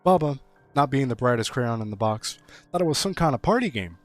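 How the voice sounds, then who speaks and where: A folksy country storyteller, read by a man spins a spooky tall tale in a playful way. The voice is medium in pitch, with faint music underneath. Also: lively intonation, lightly acted. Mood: folksy, animated. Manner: folksy, playful, drawn-out similes.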